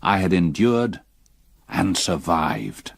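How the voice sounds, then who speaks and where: An actor playing a haunted man who narrates his past ordeal in a grave, measured, literary manner for a gothic radio drama. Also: low-pitched, big pitch swings, vocal fry, no echo, heavily acted. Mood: drained, relieved.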